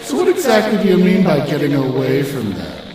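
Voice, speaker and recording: A man's voice: on the high side, huge pitch swings, brisk, very echoey, distant mic, rough recording. A man playing a vain, gossipy talking suit of armor in a comic audio drama, with a campy, gushing, sassy drawl. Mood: sassy, eager. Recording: music behind the voice.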